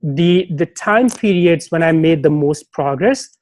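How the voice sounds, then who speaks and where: A man's voice: fairly high pitch, expressive, measured pace, a little mellow, no echo. Rambling man with a dry sound. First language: not English. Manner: rambling, heavy on "you know" and restarts. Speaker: guest, startup advisor. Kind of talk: casual interview chat.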